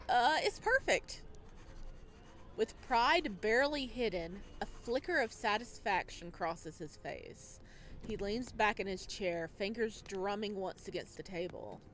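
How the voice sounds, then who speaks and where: Lady reading a visual novel out loud, slow and dramatic, chatty asides, slight noise behind. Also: on the high side, big pitch swings. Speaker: video game playthrough host. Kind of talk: dramatic read-aloud narration with casual commentary.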